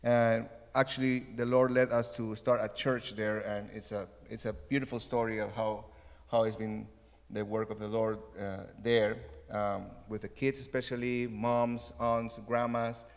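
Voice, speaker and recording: A middle-aged man from Ecuador, a missionary giving an earnest church update with many pauses and fillers. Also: on the low side, little pitch movement, in a large hall.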